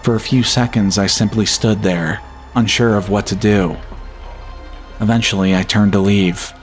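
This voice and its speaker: A man narrating a scripted first-person horror story in a measured, solemn storytelling manner. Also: lowish voice, steady tone. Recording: music bed behind the voice. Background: atmospheric music.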